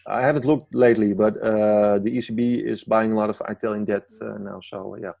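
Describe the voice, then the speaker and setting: A man's voice: fairly low pitch, fairly even intonation, fairly quick, creaky, mellow, no room sound. A man from the Netherlands with a Dutch accent, speaking English as a second language as a guest analyst, explaining while searching for words. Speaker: gold market analyst guest. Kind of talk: interview conversation.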